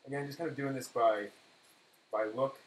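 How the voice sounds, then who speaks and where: A man's voice: mid-range voice, fairly even intonation, moderate pace. Rambling man in an echoey room. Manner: rambling, self-correcting, muttering to himself while working. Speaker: live stream host. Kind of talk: casual cooking demonstration, talking through steps. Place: indoor kitchen at a counter. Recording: echoey room, clear background noise.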